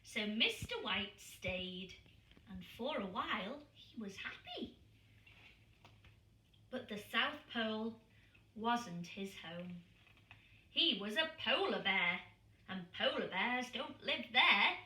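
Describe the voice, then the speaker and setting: Primary teacher, woman, storytime read-aloud; slow, lively delivery, echoey room. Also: fairly high pitch. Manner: slow, expressive, asking the children questions. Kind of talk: reading a picture book aloud to young children. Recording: echoey room, distant mic.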